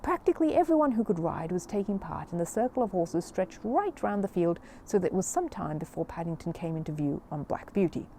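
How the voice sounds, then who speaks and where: A woman reading a children's story aloud in an expressive, animated storytelling manner. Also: lowish voice, brisk, hardly any pauses, a little hiss or hum.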